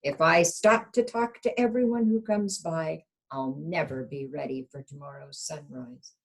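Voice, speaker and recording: A woman's voice: low-pitched, steady tone, measured pace, gravelly, exaggerated voice. A woman voicing a self-important, irritable male rooster from a storybook, with a brusque manner. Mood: irritated.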